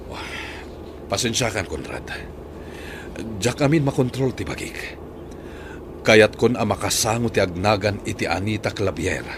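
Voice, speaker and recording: A man playing a remorseful older father and employer in an Ilocano radio drama, with a husky voice and emotional delivery. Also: on the low side, expressive, unhurried, pauses often, noisy background. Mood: anxious. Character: remorseful older father, employer seeking his lost son.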